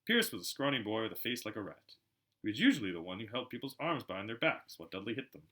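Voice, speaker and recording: A man narrating a storybook aloud in an expressive, dramatic way, as an amateur audiobook reader. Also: on the low side, fast. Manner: animated, dramatic.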